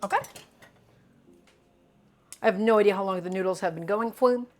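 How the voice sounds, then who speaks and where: A woman's voice: medium pitch, moderate pace, soft background music. A young woman hosting a live cooking stream. She talks to her viewers in a chatty, upbeat way, often calling them "guys" and hyping them up. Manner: upbeat, hypes up the audience.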